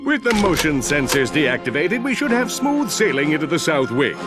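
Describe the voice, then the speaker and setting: A goofy, boastful male space hero from a video game. He is hammy and self-important, lively in pitch and slightly high, over a musical score. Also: normal speed, over loud music.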